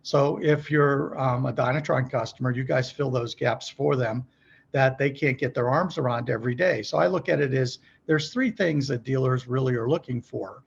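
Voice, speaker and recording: A man's voice: medium pitch, normal speed, gravelly, slightly rough, muffled recording. A middle-aged man speaking as a podcast guest, explaining business practice conversationally in a folksy way, often posing questions and checking in with the listener. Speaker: podcast guest, company executive.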